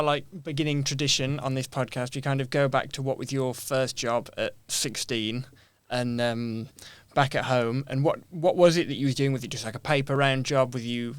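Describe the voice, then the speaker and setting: Young male podcast host, clear dry voice. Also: medium pitch, no echo. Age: young adult. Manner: informal, rambling questions. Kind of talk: casual interview.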